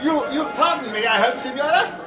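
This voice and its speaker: Very high-pitched, playful plump priest. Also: male voice, expressive, brisk. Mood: playful teasing. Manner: teasing, obsequious, chuckling. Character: plump, playful, chuckling priest, teasing and amiable. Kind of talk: period radio drama acting. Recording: echoey, distant, music and crowd behind.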